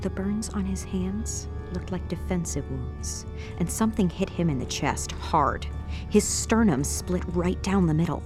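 A woman's voice: fairly low pitch, animated, measured pace, over music. An actress playing an embattled, guarded and insistent female commander of a Mars colony in an audio drama. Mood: grave, measured.

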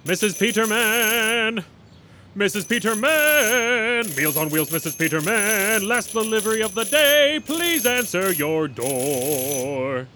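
A man's voice: high voice, lively intonation, slow, pushed and bright, slight background noise, heavily acted. A man playing a cheery, flustered, eager-to-please meal delivery man in an audio comedy drama, singsong and fussing. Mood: cheery, anxious.

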